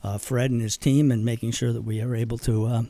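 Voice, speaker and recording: A man's voice: mid-range voice, level tone, fairly quick, warm tone, no echo. An older man from Boston, a veterans organization leader, speaking earnestly as a guest in a radio interview, with fillers and restarts.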